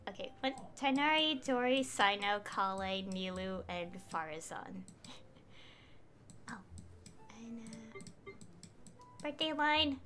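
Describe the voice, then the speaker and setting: A woman's voice: fairly high pitch, pushed and bright. A woman in her twenties giving casual, rambling, giggly commentary as a game streamer.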